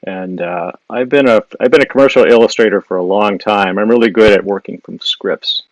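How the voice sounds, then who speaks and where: Low-voiced Wisconsin man sketching live. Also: fairly quick, dry recording, rough recording. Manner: thinking aloud while sketching, with frequent fillers and restarts. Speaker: illustrator giving a live drawing demo. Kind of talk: casual live-stream drawing demo, reading viewer questions. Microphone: device mic on a live social video stream.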